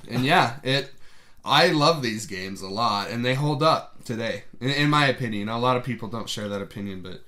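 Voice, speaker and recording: A man's voice: medium pitch, animated, moderate pace, bright, forceful tone. A young man co-hosting a podcast, rambling through stories with laughs and casual swearing. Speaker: podcast co-host. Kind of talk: casual chat.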